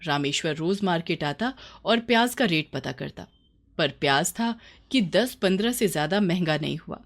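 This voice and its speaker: A woman's voice: fairly low pitch, animated, normal speed. A woman narrating a scripted moral story for children as its storyteller.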